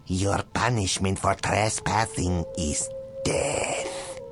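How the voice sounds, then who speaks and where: An actor playing a menacing dwarf warlord who threatens humans in a video game cutscene. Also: male voice, low-pitched, over music. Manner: slow, threatening delivery.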